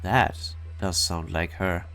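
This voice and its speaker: Male actor as steadfast doomed veteran, deep pitch, measured, somber, game drama, light music bed. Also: fairly even intonation, fairly bright tone, heavily acted. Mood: somber, calm. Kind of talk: dramatic game story dub.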